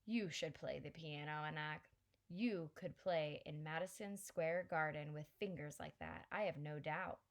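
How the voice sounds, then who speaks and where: A woman's voice: sits low, unhurried. A woman reading aloud and voicing a blunt, wry Filipino grandmother in a slightly raised, matter-of-fact voice. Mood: fond. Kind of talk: audiobook character reading.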